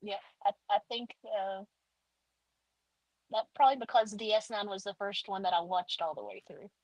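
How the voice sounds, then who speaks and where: A woman's voice: mid-range voice, normal speed, no echo, low-quality recording. A woman guest author chatting in an interview, hesitant, with many fillers. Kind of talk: casual interview chat.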